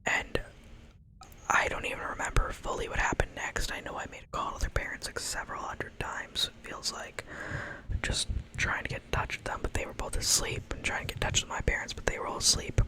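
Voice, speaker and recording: A young woman telling a long personal story in a soft ASMR whisper, wandering off into asides as she goes. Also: a little fast, rough recording.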